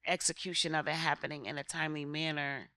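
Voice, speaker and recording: A woman's voice: low-pitched, flat delivery, quick pace, sharp tone, no room sound. A middle-aged American woman from Connecticut speaking as a legislator on a panel, conversational and often interrupting herself. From Connecticut, United States. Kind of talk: panel discussion, personal anecdote.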